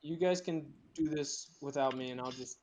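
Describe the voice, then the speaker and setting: A man's voice: fairly high pitch, moderate pace, a little mellow. Casual young man hosting, noisy home mic. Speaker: host and narrator of a group script read. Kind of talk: casual online group chat with read-out narration. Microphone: computer mic with headphones on a group call.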